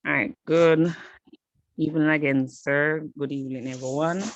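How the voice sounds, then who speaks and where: A woman's voice: low voice, steady tone, moderate pace, dry recording, highs cut off. A woman student who stutters, introducing herself hesitantly over her phone with many fillers and restarts. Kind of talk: self-introduction in class.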